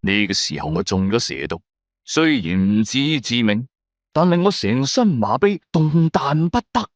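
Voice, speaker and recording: A man's voice: mid-range voice, sing-song, normal speed, no room sound, lo-fi sound. A man with a Cantonese accent narrating a suspenseful adventure story in the first person, with theatrical, emphatic delivery. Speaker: audiobook narrator.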